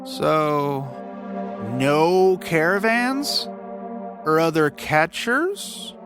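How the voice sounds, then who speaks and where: A man's voice: fairly high pitch, expressive, drawn-out words, over music, pitched above the performer's own voice. A man voicing a wary, scrappy bug catcher in an audio story, with an informal, teasing manner. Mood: wary, bargaining. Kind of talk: storybook character voice in audio fiction.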